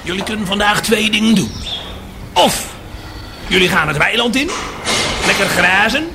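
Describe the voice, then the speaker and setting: An actor playing a furious cow who rallies the herd in a theatrical radio play monologue, declamatory and full of rhetorical questions. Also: male voice, high voice, lively intonation, moderate pace, creaky, noisy background. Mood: scornful, urging. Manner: declamatory, rousing, rhetorical questions and repeated refrains. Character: furious cow rallying the herd against city builders.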